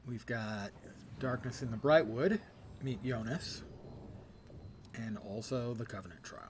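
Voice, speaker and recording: A man's voice: mid-range voice, measured pace, background noise. A man live-streaming a video game, commenting casually and reading quests aloud with wry, self-deprecating, wordy humour.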